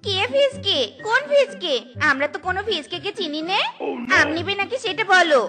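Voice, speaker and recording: A woman's voice: very high voice, sing-song, normal speed. A woman voicing a scheming, bossy young fox wife in a cartoon, with a theatrical, exclamatory delivery. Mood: brash, scheming. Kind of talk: cartoon voice acting. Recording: children's cartoon music behind voice.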